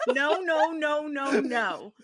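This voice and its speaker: A woman's voice: high-pitched, lively intonation, slow, fairly bright tone, dry recording, studio quality. A woman co-hosting a casual pop-culture podcast, talking in a dramatic, exclamatory, sweary way and saying "like" a lot. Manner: filler-heavy, heavy use of "like". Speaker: podcast co-host.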